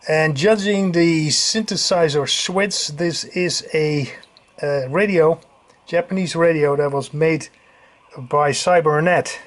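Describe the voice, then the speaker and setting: Man giving a halting technical walkthrough. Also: on the high side. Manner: halting, searching for words. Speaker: radio restorer presenting a demonstration. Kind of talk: casual technical show-and-tell commentary.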